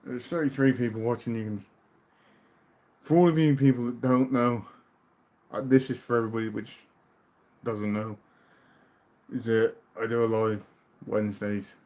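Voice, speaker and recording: A British man from the UK hosting a casual livestream, chatting loosely and reading out viewers' questions, often trailing off into quieter asides. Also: medium pitch, steady tone, normal speed, long pauses. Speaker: livestream host. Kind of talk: casual live chat reading viewer comments.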